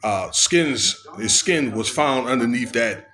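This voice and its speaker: An American man giving informal, unscripted commentary on legal news, halting as he goes and often checking in with the listener. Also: mid-range voice, lively intonation, sharp tone. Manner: halting, with frequent checking tags. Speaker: video commentator.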